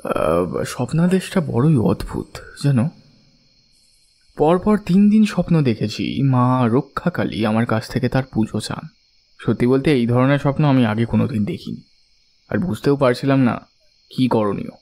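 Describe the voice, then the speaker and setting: A man's voice: medium pitch, expressive, moderate pace, darkish tone, close to an everyday voice. An actor playing an earnest, devout family man who tells his own story conversationally in an audio drama. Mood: troubled, hesitant. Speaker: narrator and character. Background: faint background music.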